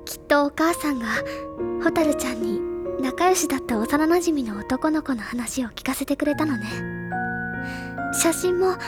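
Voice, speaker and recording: An actress playing a gentle, earnest older schoolgirl who coaxes carefully in a Japanese audio drama. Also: high-pitched, expressive, moderate pace. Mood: gentle, coaxing. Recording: loud background music under the voice.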